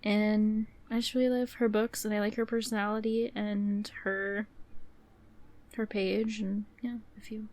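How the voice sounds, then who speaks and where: A woman's voice: mid-range voice, flat delivery, unhurried, darkish tone. A woman co-hosting a podcast in casual chat, sniffly with a runny nose, rambling through plenty of fillers. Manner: chatty, rambling with many fillers. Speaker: podcast co-host.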